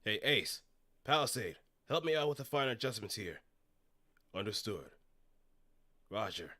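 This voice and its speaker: A man voicing a brisk, commanding mecha pilot giving clipped callouts in the style of anime game dialogue. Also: lowish voice, sing-song, bright, forceful tone, heavily acted.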